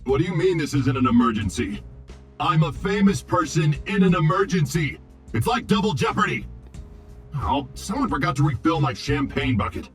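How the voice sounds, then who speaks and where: An actor playing an arrogant, impatient robot Hollywood director in a video game, with a pompous, exasperated, theatrical delivery. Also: male voice, a little high, expressive, normal speed, soft background music, close to an everyday voice. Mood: self-important. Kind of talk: video game character acting.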